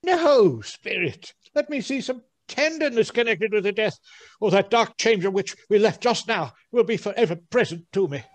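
An actor playing a frightened, pleading old miser in a radio drama, with an anguished, beseeching delivery. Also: male voice, high voice, animated, normal speed, no echo. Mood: imploring. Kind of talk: theatrical radio drama acting.

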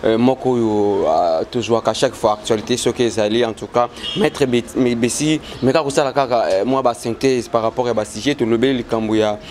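A young man with a Congolese accent interviewing a guest, hesitant with many pauses. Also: mid-range voice, a little mellow, a little hiss or hum. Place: indoors by a yellow wall.

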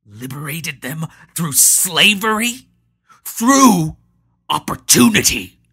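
Narrator doing a defiant male master, confident, sneering, lively, breathy. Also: mid-range voice, slow pace, voice pushed up, full character voice. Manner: confident, spitting retorts.

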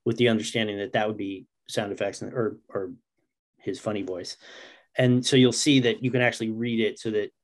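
A man's voice: lowish voice, steady tone, a little fast. A middle-aged man chatting casually as a writer guest in an interview over a video call. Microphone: video call microphone.

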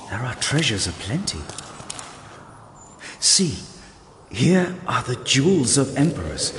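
Slow, solemn elven lord in cavernous reverb. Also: male voice, medium pitch. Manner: measured, formal, grave. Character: proud, stern male elven lord, solemn and commanding. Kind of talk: audio drama acting. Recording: long reverb, ambient noise behind.

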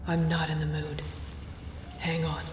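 A woman's voice: low-pitched, monotone, a little slow, slight background noise. A woman playing a grumpy, tough shapeshifter guard who cares begrudgingly, speaking curtly and with weary sarcasm in an intimate audio roleplay. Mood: gruff, irritated concern. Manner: curt, sarcastic, dry, weary.

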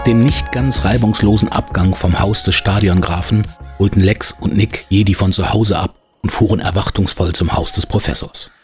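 A man playing the narrator of a detective audio drama, telling it as a measured, mock-earnest storyteller. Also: fairly low pitch, steady tone, darkish tone, faint music behind, natural voice. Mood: storytelling. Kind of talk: scripted narration.

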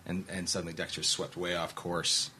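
A man's voice: low-pitched, flat delivery, moderate pace, bright, forceful tone, slight background noise. A middle-aged man hosting an interview podcast, talking casually, laughing often and restarting his sentences. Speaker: podcast host and producer.

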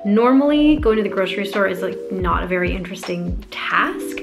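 A woman's voice: mid-range voice, lively intonation, a little fast, gravelly, over music. A young woman from North America chatting casually in a vlog, upbeat and talkative, often using "like" as a filler. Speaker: vlogger.

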